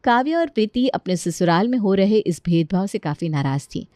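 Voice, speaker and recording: A woman's voice: medium pitch, expressive, a little fast, a little mellow, no echo, clean studio sound. A woman narrating a scripted family moral story.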